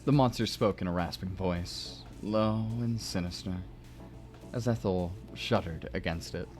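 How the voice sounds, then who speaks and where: Male narrator, low voice, measured and expressive dramatic story reading, faint music underneath. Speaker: narrator and host of a story stream. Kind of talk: dramatic reading of prose fiction.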